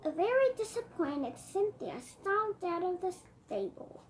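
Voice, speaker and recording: A child reading a storybook aloud in an animated read-aloud style. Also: extremely high pitch, a little slow, a little hiss or hum, poor audio. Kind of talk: reading a picture book aloud.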